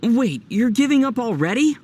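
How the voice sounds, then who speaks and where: A man's voice: very high-pitched, big pitch swings, moderate pace, background noise. A young man playing a youthful, easygoing traveler hero in a video game, wry and dryly teasing. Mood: amused, dry.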